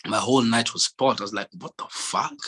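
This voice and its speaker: A young man from Kenya in casual chat, telling stories in a relaxed way with many fillers and restarts. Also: medium pitch, fast talker, husky.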